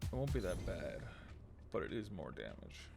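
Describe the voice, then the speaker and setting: Low, gravelly man streaming a game. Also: wildly swooping pitch, normal speed, darkish tone. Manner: thinking aloud, muttering to himself. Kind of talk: casual live gameplay commentary. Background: game music.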